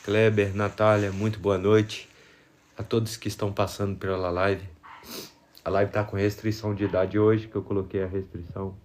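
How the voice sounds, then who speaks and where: Low-voiced Brazilian man with a cold. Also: flat delivery, normal speed, a little mellow, a little hiss or hum. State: congested with a cold. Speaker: live stream host. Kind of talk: casual livestream chat, greeting viewers.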